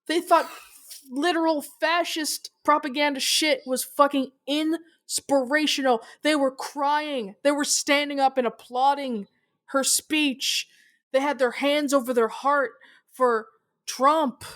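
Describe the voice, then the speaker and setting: Higher-voiced woman, blunt interview host. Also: steady tone, slow pace, a bit bright. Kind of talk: casual interview talk.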